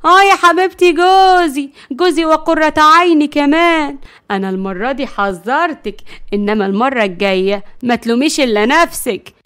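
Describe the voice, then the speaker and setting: A woman voicing a fiery, exasperated grandmother and jealous wife in an audio story, loud and sharp-tongued, with an Egyptian accent. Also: medium pitch, fast talker, lightly acted. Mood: furious, jealous.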